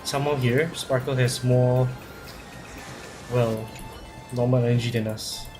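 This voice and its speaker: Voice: mid-range voice, steady tone, unhurried. A streamer giving casual, offhand commentary while playing, with game music behind.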